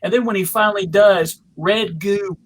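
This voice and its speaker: Halting, casual panelist with mid pitch. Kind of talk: casual chat about films.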